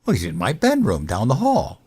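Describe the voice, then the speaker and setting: An earnest, slightly bumbling American president played by a man in an audio comedy. His mid-pitched voice moves up and down with great animation. He hesitates and stammers with childlike sincerity. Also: wildly swooping pitch, fairly quick, a little mellow, slightly rough. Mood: awkward, sincere. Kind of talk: comedic audio drama acting.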